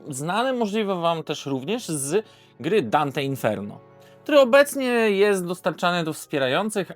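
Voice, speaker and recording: A man hosting an unscripted board game video, hesitant and often correcting himself. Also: sits high. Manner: hesitant, self-correcting, throat-clearing. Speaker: board game reviewer and host.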